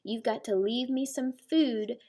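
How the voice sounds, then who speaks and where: A woman's voice: a little high, a little slow, mellow. A woman voicing a clever young girl hyena who poses as a commanding spirit in a storybook. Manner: commanding, declarative.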